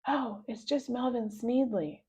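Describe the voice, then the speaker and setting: Woman as a high-voiced, mischievous schoolboy ringleader. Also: measured pace, dark tone, breathy edge. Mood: breezy. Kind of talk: children's storybook read-aloud.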